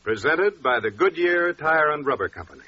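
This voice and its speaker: A man narrating and announcing a radio drama in a formal, measured, portentous 1940s style. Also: fairly high pitch, big pitch swings. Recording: dull 1940s radio recording. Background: music.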